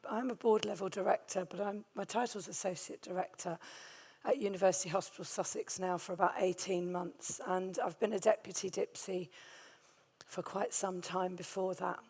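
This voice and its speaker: A middle-aged woman with a hoarse, gravelly voice from a vocal cord nodule, giving a conference talk on hospital infection control with many hesitant ums and a friendly, self-deprecating manner. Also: mid-range voice, steady tone, moderate pace, a little mellow. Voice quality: gravelly, strained voice. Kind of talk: conference presentation.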